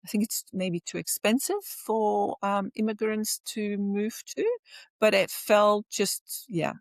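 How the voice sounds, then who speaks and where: A woman's voice: mid-range voice, takes it slowly, dry recording. A woman from Flanders in Belgium, a native Flemish speaker, chatting casually and enthusiastically as a podcast guest. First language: Flemish Dutch. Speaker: podcast guest, tourism lecturer. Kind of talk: casual personal chat.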